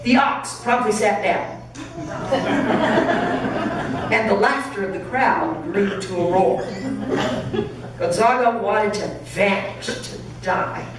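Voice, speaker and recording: A woman pastor reading a story aloud expressively and deliberately to listeners in a large church. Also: mid-range voice. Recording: very echoey church, distant mic, faint noise.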